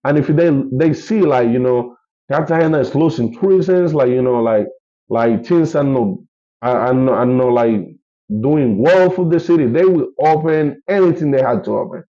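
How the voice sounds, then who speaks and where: A man's voice: mid-range voice, moderate pace, mellow, lo-fi sound. Man with a Colombian Spanish accent, rambling. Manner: rambling, many fillers and restarts. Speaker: travel vlogger. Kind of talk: casual vlog update.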